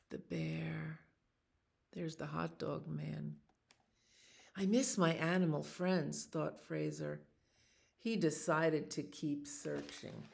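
A woman in her sixties reads a picture book aloud for children, pausing for casual asides that explain the pictures in an unhurried manner. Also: low voice, gravelly. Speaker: children's storytime reader. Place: small library children's room.

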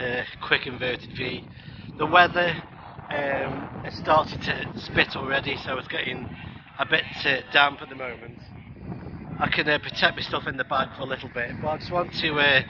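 A man's voice: mid-range voice, lo-fi sound, noisy background. A middle-aged man chatting casually and a little hesitantly outdoors, as an amateur radio operator making a vlog. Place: outdoors on open heathland by a road.

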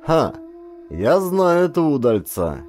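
A man voicing a gruff, boastful old pirate in an audiobook, barking out his exclamations over music. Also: a little high, sing-song, a little slow, darkish tone, voice pushed up. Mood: surprised, commanding. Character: gruff old male pirate sea wolf, boastful and brisk.

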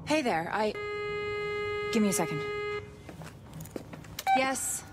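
An actress playing a brisk, impatient, dry-witted virologist in a video game, matter-of-fact and wry. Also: female voice, medium pitch, lively intonation, music in the background. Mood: irritated. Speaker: game character actor. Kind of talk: video game dialogue and briefing.

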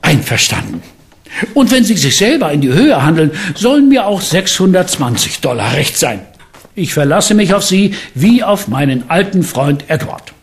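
Cheery uncle who runs a junkyard, high lively voice. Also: male voice, moderate pace. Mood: jovial. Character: good-natured middle-aged uncle, scrap dealer. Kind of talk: radio play acting.

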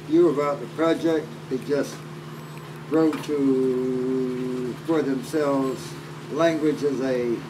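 An older man with an American accent chatting casually in a matter-of-fact way. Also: mid-range voice. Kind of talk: casual chat. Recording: off-mic, distant, with steady ambient noise.

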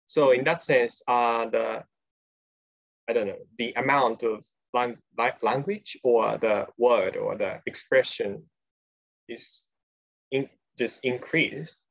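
Slow, gravelly man. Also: medium pitch. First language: Japanese. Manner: slow, tentative, hedging. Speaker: podcast co-host. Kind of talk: academic discussion over a video call. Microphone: video call. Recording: lo-fi video call audio.

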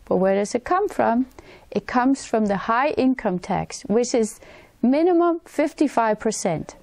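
A woman in her sixties from Denmark with a Danish accent, hosting her own channel and talking earnestly straight to camera in a plain, slightly halting way. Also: a little high, lively intonation, vocal fry, darkish tone, dry recording. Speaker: channel host. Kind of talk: direct-to-camera talk. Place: indoors, in front of a wintry forest backdrop. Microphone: camera framing head and shoulders.

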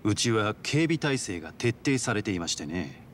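Low-voiced, courteous yakuza underboss. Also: male voice, very animated, slight background noise. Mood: coolly polite. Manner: formal, courteous, measured business-like speech. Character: polite, calculating male yakuza underboss, coolly composed. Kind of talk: dramatic video game voice acting.

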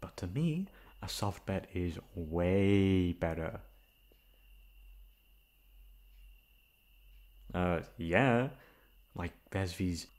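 A man playing a shy, flustered, gentle young classmate in an ASMR roleplay, hesitant and stammering. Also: deep voice, expressive, takes it slowly. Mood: sleepy, casual. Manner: hesitant, stammering, soft-spoken.

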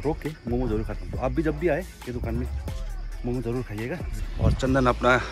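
Chatty young man narrating a ride. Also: medium pitch. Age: young adult. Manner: chatty tour-guide tone, keeps calling out to viewers. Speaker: motorcycle travel vlogger. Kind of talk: casual travel vlog narration. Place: outdoors on hill roads and at a roadside cafe. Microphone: helmet camera and handheld selfie camera. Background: background music and passing vehicles.

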